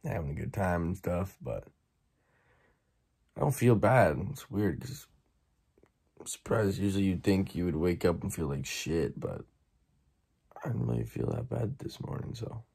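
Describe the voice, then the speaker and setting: Young man with a raspy, gravelly voice. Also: sits low, expressive, a little slow, pauses often, a little mellow. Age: young adult. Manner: laid-back, slangy, rambling. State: hoarse after a night out. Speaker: vlogger. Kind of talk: casual vlog talk to camera. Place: bedroom, indoors. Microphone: phone held at arm's length.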